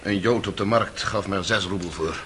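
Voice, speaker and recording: A man's voice: sits low, fairly quick, a bit bright, breathy edge, heavily acted. An actor playing an impulsive, embittered man on trial for murder in a radio courtroom drama. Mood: subdued, answering. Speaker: defendant. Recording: noisy old radio play recording.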